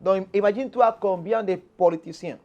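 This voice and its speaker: Pastor with a higher voice, preaching earnestly. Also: male voice, moderate pace, a little mellow, muffled recording. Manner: restarts and repeats words, emphatic teaching tone. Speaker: pastor, interview guest. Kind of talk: earnest preaching-style answers. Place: Quebec.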